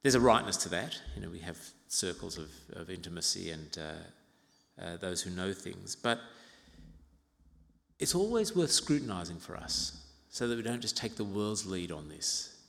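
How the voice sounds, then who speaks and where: Male pastor, fifties, from Uganda, lowish voice, expository sermon, reflective and measured, echoing church hall. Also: big pitch swings, vocal fry, a bit bright. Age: 50s. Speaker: pastor preaching to own congregation. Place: large reverberant church interior. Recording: reverberant hall.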